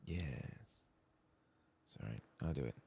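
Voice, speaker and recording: A soft-spoken man murmuring ASMR commentary as he plays a video game. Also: rumbling low, animated, slow, gravelly, husky. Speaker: ASMR gaming streamer.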